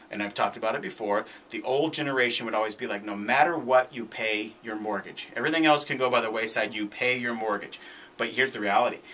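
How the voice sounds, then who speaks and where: A man's voice: fairly low pitch, pushed and bright, slight background noise. A man hosting a talk radio show, giving commentary in an emphatic, conversational way and often repeating his points. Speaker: radio show host, realtor.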